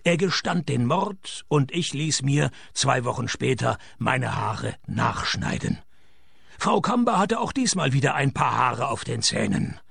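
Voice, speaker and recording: An actor playing a laconic private detective in a radio play, narrating and wisecracking in a dry, sardonic, gravelly deadpan. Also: male voice, medium pitch, a little slow, no room sound, full character voice.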